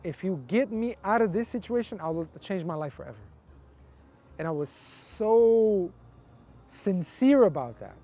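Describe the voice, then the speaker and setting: A man's voice: high voice, a little mellow. A man from Colombia, interviewed, telling his life story in a reflective, casual way, often using "like" as a filler. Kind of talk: personal testimony, casual storytelling.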